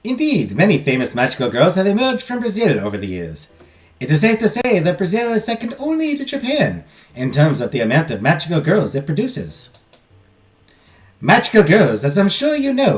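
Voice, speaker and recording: Formal lady lecturer of a magical-girl academy, put on by a man, fake Spanish-like accent, pitch swinging widely. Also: wildly swooping pitch, moderate pace. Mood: lecturing. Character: prim female magical-girl academy teacher. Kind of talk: reading game dialogue aloud.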